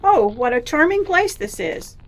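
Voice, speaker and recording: A woman's voice: sits high, big pitch swings, unhurried, vocal fry, slight background noise, full character voice. A woman acting in a radio play as a delighted, gushing young visitor, speaking emphatically. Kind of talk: old-time radio drama acting.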